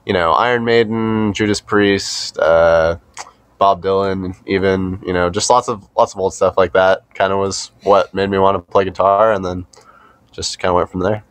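Young male band member, lowish pitch, casual talk, close dry sound. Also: steady tone, normal speed, dry recording. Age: young adult. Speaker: band guitarist, interview guest. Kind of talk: casual chat.

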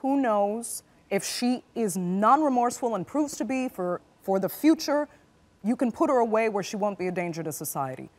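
A woman in her thirties hosting a TV news discussion in a studio, putting probing, measured questions to her guests. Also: mid-range voice, animated. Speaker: news show host. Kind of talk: TV news interview.

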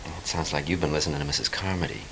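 Low, soft-spoken reassuring dad. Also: male voice, fairly even intonation, racing, exaggerated voice. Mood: calm, frank. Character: gentle, reassuring young father, quietly resolute. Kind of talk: naturalistic audio drama acting. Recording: noisy ambience behind.

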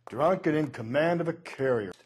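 A man playing a brash, impatient American police detective in a video game, talking in a blunt, gruff way. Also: fairly high pitch, lively intonation, moderate pace, darkish tone, a little hiss or hum, lightly acted. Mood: gruff impatience. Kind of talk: video game dramatic acting.

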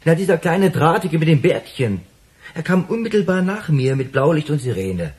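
An actor playing a nervous, wordy waiter in a radio crime drama, defensive and excitable as he explains himself. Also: a little high, normal speed. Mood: eager.

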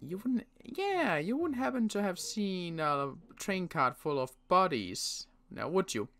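A man playing a wary adult survivor in an improvised game roleplay, talking in a wry, halting way with frequent restarts. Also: sits high, big pitch swings, vocal fry, a bit bright. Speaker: roleplay player.

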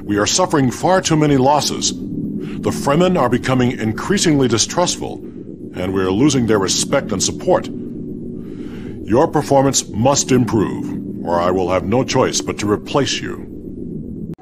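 An actor playing a stern, resolute middle-aged military leader in a science fiction game, with declamatory delivery. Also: male voice, mid-range voice, animated, a little slow, background noise. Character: stern, commanding noble military leader, male. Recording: echoing hall under loud music.

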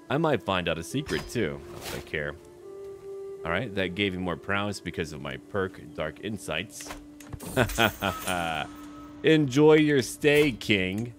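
A man giving let's play commentary on a strategy game, talking to himself and addressing his characters as their ruler. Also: low-pitched. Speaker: video game player and narrator.

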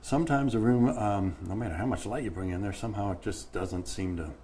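Mellow-voiced, plain-spoken middle-aged man. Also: fairly low pitch, a little fast, slight background noise. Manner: plain-spoken, practical, explains with hand gestures. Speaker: painting contractor guest. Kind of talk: casual studio interview. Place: bright studio set at a table.

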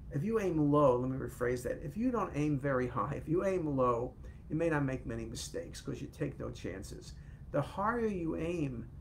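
An older man hosting a casual live talk from his home office over a webcam, chatty and animated, often correcting himself mid-sentence. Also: on the high side, slight background noise. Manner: chatty, self-correcting, animated with hand gestures. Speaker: host. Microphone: webcam on a video call.

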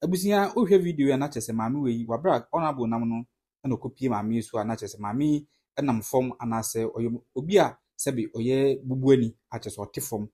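A man's voice: mid-range voice, a little fast, warm tone, slightly rough. A man with a Ghanaian accent, a native Twi speaker, giving earnest casual commentary to his followers, repeating words for emphasis and calling them family. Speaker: political commentary vlogger.

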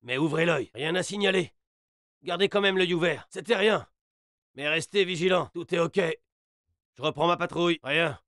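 An actor playing an alert, aggressive soldier in a video game, giving clipped military callouts. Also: male voice, on the high side, moderate pace, fairly bright tone, no echo, clean studio sound. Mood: calm, watchful. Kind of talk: video game battle chatter voice lines.

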